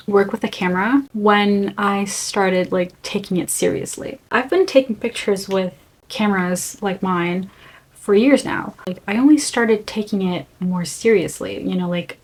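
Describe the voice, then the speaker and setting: Young woman. Mid pitch, candid and earnest, halting phrasing. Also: slight background noise. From Brazil. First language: Portuguese. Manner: earnest, reflective, speaks in short halting phrases. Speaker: vlogger. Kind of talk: candid personal reflection to camera.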